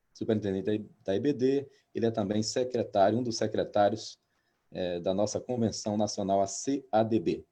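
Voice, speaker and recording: A man in his fifties, a pastor hosting an interview, talking hesitantly with many drawn-out filler sounds. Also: fairly low pitch, dark tone. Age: 50s. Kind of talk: interview, reading a guest introduction.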